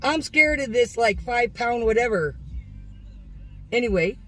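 A woman's voice: a little high, lively intonation, unhurried, a bit bright. A middle-aged woman with an American accent chatting informally and folksily to viewers as she vlogs from inside a car. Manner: informal, folksy, self-narrating. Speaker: vlogger. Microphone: phone or camera on the dashboard.

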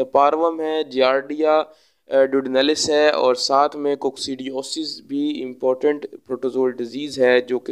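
A man giving an explanatory veterinary lecture, speaking instructively with frequent hesitant pauses. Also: medium pitch, darkish tone, clear, resonant tone.

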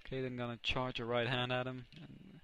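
A young man from the Netherlands with a Dutch accent, casually commentating his own video game match, excitable and often correcting himself. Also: medium pitch, brisk, smooth. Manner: excitable, self-correcting, reacts loudly to plays. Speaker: gaming commentator. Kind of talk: casual let's play commentary.

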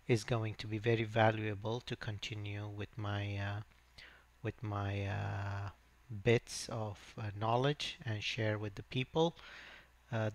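A man hosting his own channel, talking through a screen-recorded networking tutorial in a halting way with many fillers. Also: on the low side, fairly bright tone. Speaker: channel host and networking instructor. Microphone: voice-over on a screen recording.

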